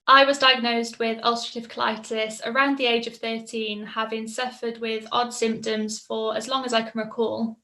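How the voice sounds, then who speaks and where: A woman's voice: fairly high pitch, level tone, moderate pace, hardly any pauses, pushed and bright. A woman, telling her personal story in a casual, reflective way, with frequent ums. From Mid Wales. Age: 20s. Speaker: patient sharing her story. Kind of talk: personal testimonial.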